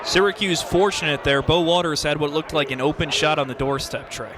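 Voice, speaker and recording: Higher-pitched male analyst with game noise behind. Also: steady tone, fairly quick. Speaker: color commentator. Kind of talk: live lacrosse radio analysis. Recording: clear background game noise.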